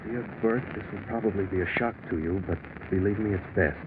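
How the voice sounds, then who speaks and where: A man's voice: fairly low pitch, quick pace, phone-quality sound, close to an everyday voice. Actor as anxious lovestruck businessman, crackly old radio. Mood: grave reading. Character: Bert, shaken businessman in love with his partner's wife. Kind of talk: 1940s radio mystery acting. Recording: scratchy band-limited 1940s radio recording.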